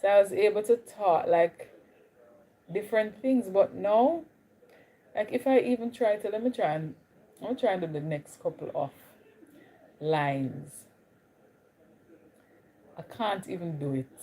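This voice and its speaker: A woman's voice: mid-range voice, unhurried, long pauses, mellow, poor audio. A young woman with a Jamaican accent, chatting casually with viewers on a live stream. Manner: chatty, greets viewers, drifts between Caribbean accents. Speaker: livestream host.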